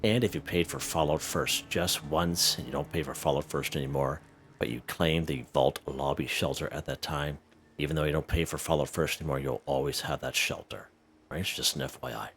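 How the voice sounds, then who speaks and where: A man with an extremely deep, low voice talks through a game guide in an easygoing, chatty way, his throat a little hoarse. He keeps checking in with the viewer, and there is a faint hum of noise behind him. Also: steady tone, brisk, fairly bright tone. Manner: chatty, checks in with "okay?" and "right?". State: slightly hoarse. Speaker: gaming video host. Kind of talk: casual game walkthrough.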